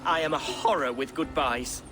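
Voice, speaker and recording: An actor playing an earnest young alderman in a game cutscene, speaking formally and warmly to an ally. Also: medium pitch, moderate pace, close to an everyday voice. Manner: formal, heartfelt, self-deprecating. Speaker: newly named alderman of a shire. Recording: game cutscene with loud music.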